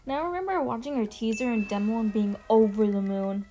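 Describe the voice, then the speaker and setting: A woman giving casual, chatty commentary as a video host, informal and quick to swear. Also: a little high, warm tone, a little hiss or hum. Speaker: video host ranking game character demos. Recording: music behind the voice. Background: background music.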